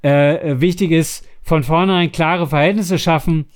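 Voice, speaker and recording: A man's voice: fairly high pitch, fairly even intonation, smooth. A man co-hosting a podcast, chatting casually and giving tips, often stumbling over the starts of his words. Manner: halting, stammering over word starts. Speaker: podcast co-host.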